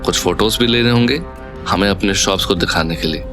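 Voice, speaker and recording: An actor playing an earnest, cautious young man in a Hindi audio drama, speaking in a measured, polite way. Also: on the low side, music in the background, close to an everyday voice. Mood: earnest, careful.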